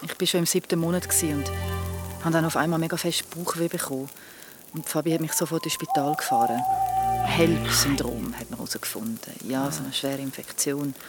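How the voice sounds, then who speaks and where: An actress with a Swiss German accent playing a haunted, shaken woman in an audio drama, halting and earnest. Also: deep voice, fairly even intonation. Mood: grieving, subdued. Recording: music behind the voice.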